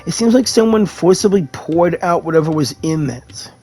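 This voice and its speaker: A man's voice: fairly high pitch, expressive, normal speed, darkish tone, faint music behind. A man playing a video game, reading its dialogue aloud and commenting along.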